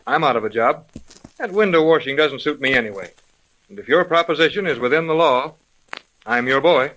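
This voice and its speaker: A man's voice: fairly high pitch, expressive, a little fast, sharp tone, low-quality recording. An actor playing a cocky, eager young man with a brash, wisecracking manner, in 1930s radio drama style. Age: young adult.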